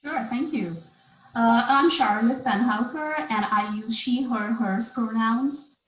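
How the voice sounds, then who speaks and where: A woman's voice: on the high side, slow pace, soft background music. Woman panelist, polite self-intro, echoey room sound. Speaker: graduating divinity student, panelist.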